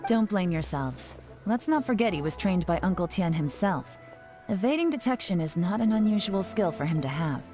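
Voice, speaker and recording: A low, even woman's voice as an actress plays a cool, commanding spymaster in a game. Every order comes out calm and crisp. Soft music plays faintly behind her. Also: moderate pace, lightly acted. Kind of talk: game dialogue.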